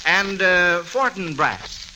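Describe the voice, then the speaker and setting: A man's voice: high voice, animated, slow pace, bright, forceful tone, poor audio. An American man hosting a live 1940s radio quiz, encouraging and repeating answers back, on a hissy old recording. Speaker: quiz show host.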